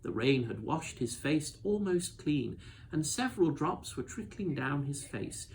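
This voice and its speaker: A man reading a children's novel aloud as expressive storybook narration. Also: on the high side, unhurried, slight background noise, low-quality recording.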